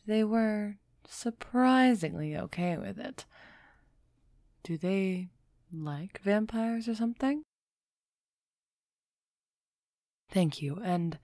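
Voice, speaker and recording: A woman playing an aloof, aristocratic vampire who is softening toward a human, in a measured, musing audio roleplay performance. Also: on the low side, no echo, clean studio sound, natural voice. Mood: commanding, relieved. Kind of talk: scripted audio roleplay acting, close and soft.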